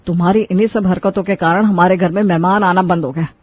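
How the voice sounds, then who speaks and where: A woman's voice: on the low side, fairly even intonation, fast, darkish tone, slight background noise, lightly acted. A woman voicing an exasperated, sarcastic husband who grumbles, in a cartoon moral story. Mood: sarcastic grumbling. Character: exasperated, nagging husband fed up with stale food.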